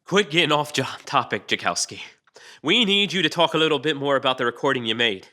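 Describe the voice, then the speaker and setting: An actor playing a wry, needling interrogator in an audio drama, dry, taunting and colloquial in manner. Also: male voice, mid-range voice, animated, fast talker, bright, forceful tone. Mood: pressing, scornful. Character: wry, needling male interrogator, mock-friendly. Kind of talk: audio drama table read.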